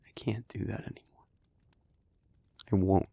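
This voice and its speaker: A man playing an earnest, guilt-ridden demon in love, speaking in a hushed, breathy, halting voice in an intimate audio roleplay. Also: on the low side, level tone, heavily acted. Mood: pleading, earnest. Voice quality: breathy, husky, whispery. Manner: slow, halting, hushed delivery with pauses.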